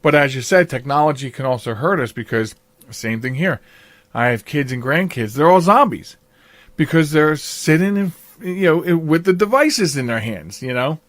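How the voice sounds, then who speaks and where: Older man, slightly high voice, dry sound. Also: lively intonation, normal speed, dry recording. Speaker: podcast host. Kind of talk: casual interview chat.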